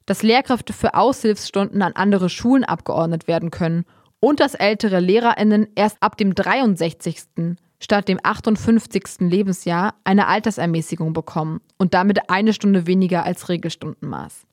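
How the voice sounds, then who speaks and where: A woman's voice: medium pitch, normal speed, no echo. Woman reading podcast news, dry close sound. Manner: even, read-aloud delivery. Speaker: podcast host. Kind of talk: scripted news reading, then interview.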